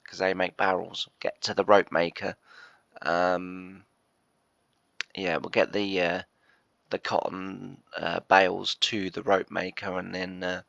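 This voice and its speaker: A congested man with a very deep, gravelly voice, chatting his way through a farming game. He rambles and hesitates, restarting sentences, and sounds croaky and stuffed up. The recording is dry and a bit dull, like a modest home mic. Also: fairly bright tone, no room sound. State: congested and croaky from a chest cold. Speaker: gameplay commentator. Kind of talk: casual let's-play commentary.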